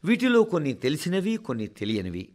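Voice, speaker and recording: A man reads a formal scripted radio address as a presenter, in a measured, rhetorical way that speaks directly to listeners. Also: a little high, huge pitch swings. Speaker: radio presenter reading a translated national address.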